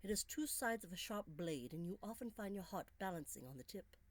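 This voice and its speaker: A woman's voice: medium pitch, lively intonation, close to an everyday voice. A woman as a courtly, intense Victorian lord. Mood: grave, romantic. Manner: courtly, deliberate, sometimes hushed.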